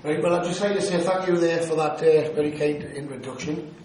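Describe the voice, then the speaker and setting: A man's voice: a little high, fairly even intonation, a little fast, darkish tone, recorded from a distance, slight background noise. A man from Newcastle with a strong Geordie accent, giving a prepared talk on a paranormal case to an audience. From Newcastle upon Tyne, England. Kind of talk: lecture to an audience. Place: lecture hall. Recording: very echoey hall, recorded from afar.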